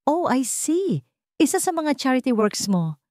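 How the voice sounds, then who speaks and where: A woman's voice: high voice, big pitch swings, unhurried, a little mellow, no echo, clean studio sound, pitched above the performer's own voice. A woman voicing an elegant, scornful young rich rival in a romance story, with sugary condescension and a Filipino accent. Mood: sugary, condescending. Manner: sugary condescension, mixing English into Tagalog.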